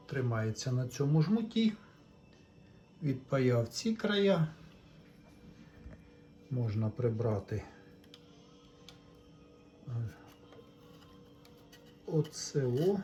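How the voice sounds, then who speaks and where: A man's voice: mid-range voice, a little mellow. A man talks viewers through a hands-on electronics repair, casually explaining each step without a script. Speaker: electronics repair hobbyist presenting his own video.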